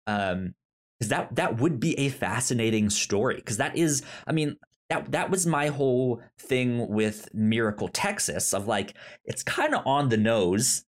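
A man co-hosting a casual TV review podcast, speaking haltingly and often restarting his words. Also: mid-range voice, animated. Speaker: podcast co-host.

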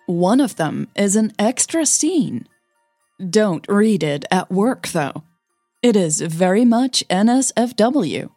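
A woman's voice: mid-range voice, sing-song, slow pace, dark tone, no room sound, clean studio sound, faint music behind. A woman hosting a podcast, reading scripted announcements and story narration.

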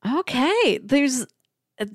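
A woman's voice: high-pitched, big pitch swings, slow, no room sound, clean studio sound. A woman co-hosting a podcast in casual, unscripted chat, answering in short, easygoing phrases. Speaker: podcast co-host.

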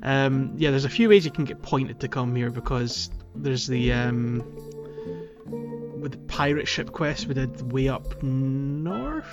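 A man's voice: medium pitch, measured pace, dull audio, music in the background. A man hosting a podcast, chatting casually and thinking aloud as he goes. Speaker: podcast host. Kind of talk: casual gaming podcast chat.

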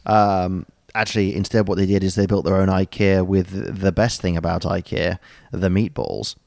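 A man's voice: sits low, little pitch movement, moderate pace, gravelly, darkish tone, no echo, studio quality. A man co-hosting a podcast, chatting casually and rambling through a story with plenty of ums and jokey asides. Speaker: podcast co-host. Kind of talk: casual chat.

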